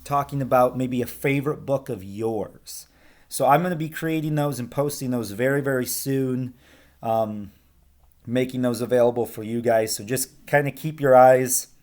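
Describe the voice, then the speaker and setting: A man's voice: mid-range voice, darkish tone. Casual American man teaching. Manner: encouraging, informal. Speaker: school teacher. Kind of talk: casual talk to pupils, read-aloud.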